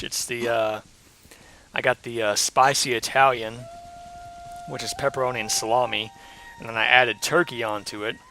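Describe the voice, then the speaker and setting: A hoarse young man giving casual, rambling commentary while playing a game, with lots of ums and uhs. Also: mid-range voice, sharp tone, rough recording. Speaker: let's play host.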